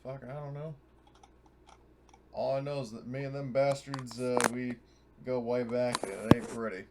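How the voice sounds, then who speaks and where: A man with a medium-pitched voice chats as a player in a tabletop roleplaying game. His talk is informal and salty, slipping in and out of character. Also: fairly even intonation, normal speed, background noise. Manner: informal, sweary.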